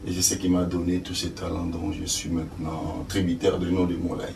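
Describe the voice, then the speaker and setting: An older man from Congo, an actor and stage director answering interview questions slowly with many fillers. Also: sits low, completely flat delivery, gravelly, darkish tone. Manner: slow, hesitant, many fillers. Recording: echoey room, distant mic, faint noise.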